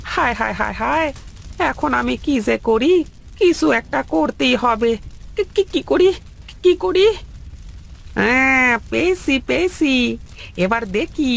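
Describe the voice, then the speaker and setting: A woman voicing a cheeky, grumbling male household servant in a cartoon, with a creaky voice and a mocking, whiny manner. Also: high-pitched, a bit bright, exaggerated voice. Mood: panicked, then sly. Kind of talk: Bengali cartoon voice acting.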